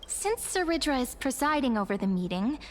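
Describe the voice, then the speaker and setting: An actress playing an earnest, slightly nervous young female traveler in a video game, speaking softly and cautiously. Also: high voice, sing-song, fairly quick, a little hiss or hum. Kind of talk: scripted game dialogue.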